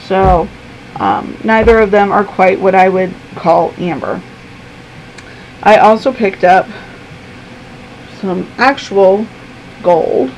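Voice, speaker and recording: A woman chatting casually to camera in her room, her voice going hoarse, with plenty of ums. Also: medium pitch, a little mellow, slight background noise, poor audio. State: losing her voice. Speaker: vlogger. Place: her own room at home.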